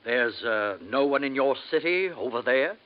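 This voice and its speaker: An actor playing a curious, easily awed expedition scientist with a hesitant manner in a vintage radio drama. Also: male voice, mid-range voice, animated, normal speed, lightly acted. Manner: hesitant, marveling. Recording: dull, faintly noisy old radio recording.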